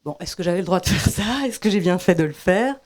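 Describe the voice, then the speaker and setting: A woman from Belgium, asking a reflective question from the audience with many pauses and restarts. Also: lowish voice, lively intonation, a little mellow. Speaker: audience member. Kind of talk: question from the floor.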